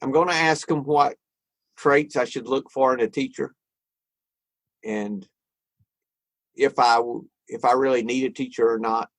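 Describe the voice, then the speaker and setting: A man's voice: medium pitch, no room sound, low-quality recording. A man, thinking aloud in a casual podcast conversation about recovery and spirituality. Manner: reflective, thinks aloud with frequent restarts. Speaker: podcast co-host.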